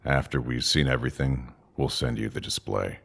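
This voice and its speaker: A man playing a curt, weary, authoritative alien leader in a sci-fi audio drama, with a deep, gravelly, husky voice. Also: normal speed, a bit bright, full character voice. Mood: grave, firm. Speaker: voice actor.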